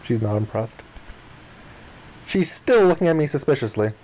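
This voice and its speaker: A man reading the narration and dialogue of a visual novel aloud in an expressive style. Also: mid-range voice, moderate pace, rough recording.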